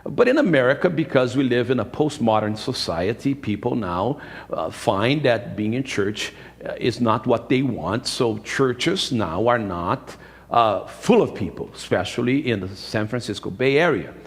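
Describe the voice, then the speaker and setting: A man's voice: mid-range voice, expressive, moderate pace. A man in his fifties with a Brazilian accent, a native Portuguese speaker, preaching a sermon emphatically and repeating phrases for effect. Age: 50s. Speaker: pastor. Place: church sanctuary. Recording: reverberant hall, live-streamed church service.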